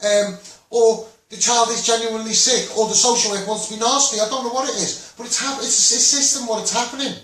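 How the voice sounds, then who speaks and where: A man's voice: very high voice, fairly even intonation, fast, fairly bright tone, a little hiss or hum. A man with a Scouse accent, a campaigner giving a blunt, rambling, impassioned monologue to online viewers, often repeating himself. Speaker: children's rights campaigner. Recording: very echoey room, far from mic.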